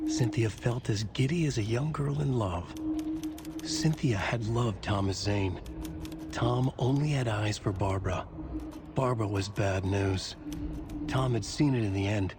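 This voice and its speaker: A man playing a brooding narrator, telling a dark mystery tale in terse, measured sentences for a game. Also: lowish voice, background noise, lightly acted. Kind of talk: scripted literary narration.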